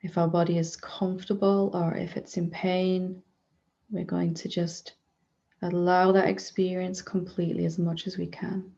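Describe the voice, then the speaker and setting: A woman guides a meditation in a soft-edged, mellow voice with a noticeable creak. Her delivery is very even and gentle, broken into short phrases with generous pauses. The recording sounds slightly dull. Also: on the low side. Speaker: spiritual teacher leading a guided meditation.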